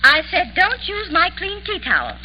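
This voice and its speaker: A woman's voice: extremely high pitch, huge pitch swings, moderate pace, exaggerated voice. An actress playing a nagging, exasperated middle-aged wife and mother in a 1940s radio drama, scolding and fussy. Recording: muffled, noisy old radio recording, reverberant.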